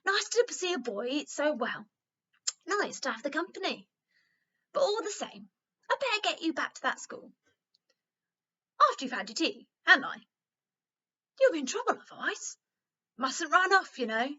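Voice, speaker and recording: A woman's voice: high-pitched, big pitch swings, moderate pace, long pauses, bright, forceful tone, dull audio, exaggerated voice. A woman voicing a kindly, chatty old lady in a storybook, with a merry, reassuring manner. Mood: warm, reassuring.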